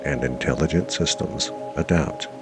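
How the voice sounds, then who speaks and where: A man narrating a guided meditation in a measured, reassuring way, leaving long pauses between short phrases. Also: a bass voice. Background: music.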